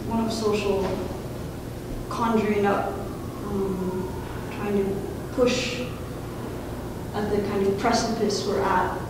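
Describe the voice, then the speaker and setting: A woman speaking as a professor hosting a student discussion, informal and halting as she searches for words. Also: mid-range voice, little pitch movement. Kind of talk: informal spoken introduction to a guest. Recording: distant, very echoey room, noisy.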